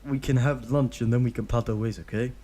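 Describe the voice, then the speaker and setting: Man voicing a firm, weary adult ninja, slightly lifted, low-key. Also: fairly even intonation, brisk, mellow, lightly acted. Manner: firm, tired, lightly raised.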